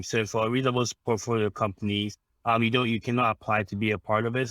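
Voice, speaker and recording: Man from Ghana, low voice, even delivery, dry sound, podcast guest explaining at length. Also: dry recording. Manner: measured, explanatory, with frequent ums and restarts. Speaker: impact investor guest. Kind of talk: podcast interview answers.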